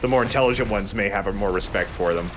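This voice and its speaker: A man's voice: on the low side, quick pace, a little hiss or hum. A man giving casual commentary as he plays through a video game. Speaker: let's player.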